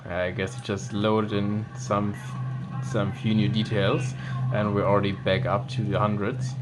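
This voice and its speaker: Man, low voice, relaxed gameplay commentary, game music behind. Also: a little slow. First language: German. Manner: relaxed, with frequent restarts.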